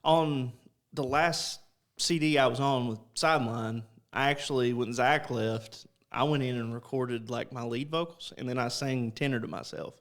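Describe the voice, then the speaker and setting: A man chatting easily as a guest musician, relaxed and full of fillers. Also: mid-range voice, normal speed, few pauses. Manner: relaxed, drawling, lots of fillers. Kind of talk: casual interview chat.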